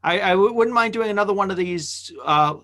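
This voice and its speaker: Higher-voiced man, halting podcast host. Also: a bit bright. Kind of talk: casual group chat.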